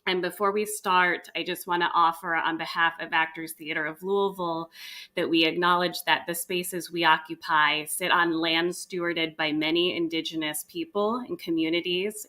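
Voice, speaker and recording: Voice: mid-range voice, bright, forceful tone. An interview host opening a live online session on a video call, upbeat and enthusiastic with the odd um. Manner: enthusiastic, upbeat, occasional ums.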